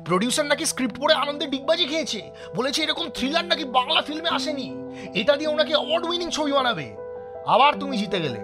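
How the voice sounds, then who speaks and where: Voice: sits high, big pitch swings, quick pace. An actor playing a loyal, sulky younger sidekick in an audio drama, earnest, wordy and dramatic. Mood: excited, reporting. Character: loyal, sulky younger sidekick, protective of elder brother figure. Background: music.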